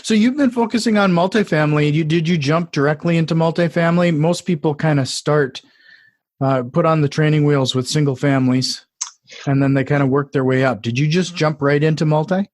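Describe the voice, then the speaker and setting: A man's voice: fairly high pitch, a little fast, no room sound, clean studio sound. A man hosting a podcast, asking easygoing interview questions. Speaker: podcast host. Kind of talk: conversational interview.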